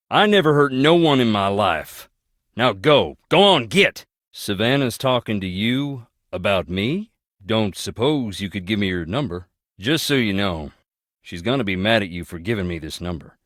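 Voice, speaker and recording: A man's voice: on the low side, fairly bright tone, no room sound, studio quality, heavily acted. An actor with a Southern US accent playing a blunt, folksy, brooding man haunted by family tragedy in a video game. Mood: blunt, defensive. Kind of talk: video game character dialogue.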